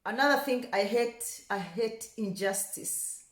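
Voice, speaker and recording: A woman's voice: fairly high pitch, a little slow. A young woman from Rwanda talking casually to camera, chatty and repeating words for emphasis, turning passionate. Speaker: vlogger. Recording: echoey room.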